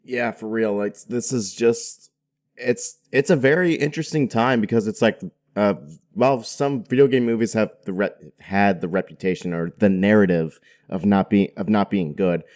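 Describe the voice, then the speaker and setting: A male podcast guest with a notably low voice. He talks in a loose, chatty way, using slang and everyday phrasing as he makes his points. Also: moderate pace, a little mellow. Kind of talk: casual chat.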